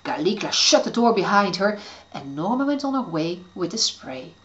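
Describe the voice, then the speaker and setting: Woman reading a kids story aloud, lively and expressive, voice rising and falling a lot. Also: medium pitch, moderate pace, lo-fi sound. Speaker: author reading her story. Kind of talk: storytelling for children.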